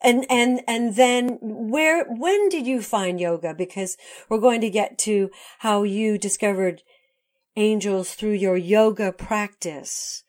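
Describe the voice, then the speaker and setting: A woman's voice: mid-range voice, slow pace. A woman hosting an interview, asking questions and giving frequent short encouraging responses. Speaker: interviewer host.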